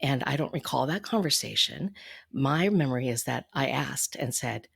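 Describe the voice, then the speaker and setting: A woman from the United States speaking as a podcast guest, telling her personal story in a candid, measured and thoughtful way. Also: very deep voice, animated, gravelly, husky. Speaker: podcast guest, financial coach. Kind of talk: reflective personal storytelling in an interview.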